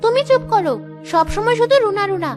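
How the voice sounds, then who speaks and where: A woman's voice: very high-pitched, expressive, fairly quick. A woman voicing a young woman in a cartoon family story. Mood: resentful. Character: young Bengali sisters, one vain, one dutiful. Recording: music behind the voice.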